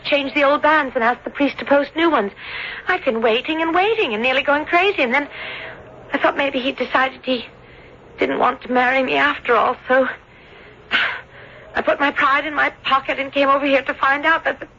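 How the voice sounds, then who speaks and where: An earnest, timid young bride-to-be in an old radio drama. She pleads politely and haltingly in a high, bright voice. The recording is narrow and faintly hissy. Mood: distraught. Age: young adult.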